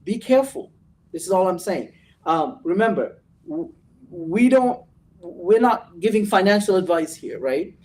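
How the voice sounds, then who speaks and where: A man's voice: high voice, sing-song, unhurried, darkish tone, far from the mic. A host leading a casual trading show and giving motivational advice, often ending his points with "right?". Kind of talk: casual chat.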